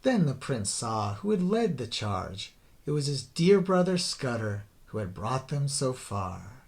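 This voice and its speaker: Slow, animated male storybook narrator. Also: mid-range voice. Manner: theatrical, deliberate storytelling with drawn-out phrase endings. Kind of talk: dramatic scripted children's story narration in rhyme.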